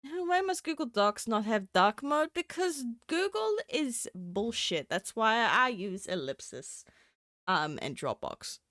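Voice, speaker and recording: A woman's voice: fairly high pitch, sing-song, takes it slowly, fairly bright tone, dry recording, studio quality. A woman streaming live, chatting casually with many fillers and giggles, a bit sniffly from a cold. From Southern Hemisphere. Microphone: desk microphone.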